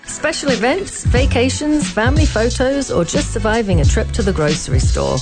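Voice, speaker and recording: A woman's voice: fairly high pitch, animated, normal speed, vocal fry. A woman, a business owner, reading her own radio ad in a friendly, lilting manner. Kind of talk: radio ad read. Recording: voice over music bed.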